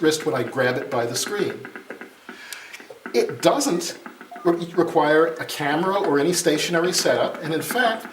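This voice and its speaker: A man giving an informal live product demonstration, exclaiming and drifting into distracted asides. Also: fairly high pitch. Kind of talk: informal live tech demo. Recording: echoey, noisy room, distant mic, clattering.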